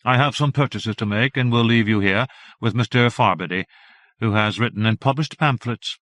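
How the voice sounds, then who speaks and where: A man voicing a prim, practical middle-aged aunt who speaks in a brisk, matter-of-fact way. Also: medium pitch, fairly bright tone, dry recording, clean studio sound, lightly acted.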